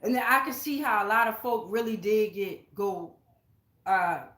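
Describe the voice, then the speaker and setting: A woman's voice: medium pitch, moderate pace, fairly bright tone, echoey room. A woman giving a casual spiritual tarot reading, speaking straight to her viewers in an emphatic, blunt, sweary way. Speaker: tarot reader, channel host. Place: indoors at home.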